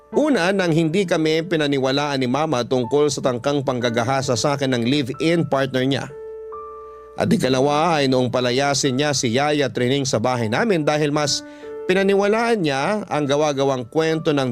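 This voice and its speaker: A man with a Filipino accent reading a first-person story as scripted dramatic radio narration. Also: mid-range voice. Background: background music.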